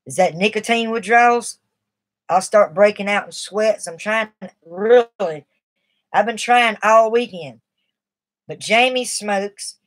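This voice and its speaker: A middle-aged woman chatting on her live stream in a rambling, confiding way. Her voice sits at an ordinary pitch for a woman, and she sounds a little shaky as she fights off cigarette withdrawal. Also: takes it slowly. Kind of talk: casual live stream talk.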